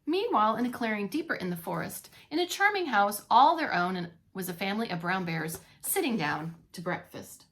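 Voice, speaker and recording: Animated woman reading a children's story. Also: mid-range voice, a little fast, hardly any pauses. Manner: animated, with playful asides to young listeners. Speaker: preschool teacher reading a story aloud. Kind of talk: expressive children's read-along narration.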